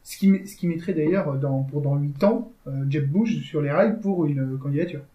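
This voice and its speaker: A man explains political strategy in a measured way, pausing now and then. His voice is fairly high and mellow. The room around him echoes. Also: muffled recording. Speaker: co-host. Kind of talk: casual discussion.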